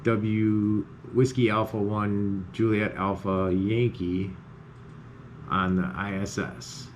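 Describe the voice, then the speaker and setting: Man, deep pitch, informal tutorial host, unscripted, step-by-step explaining, noisy recording. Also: level tone, slow. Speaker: amateur radio tutorial host. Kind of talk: unscripted screen-share software walkthrough. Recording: clearly noisy background.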